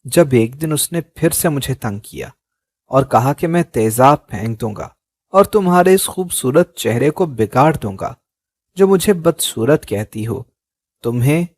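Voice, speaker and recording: A man reads out a heartfelt first-person tale at an unhurried, deliberate pace. His voice sits at an ordinary middle pitch. The sound is close and dry, with no room around it. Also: darkish tone, no room sound. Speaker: storyteller. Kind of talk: slow first-person story narration.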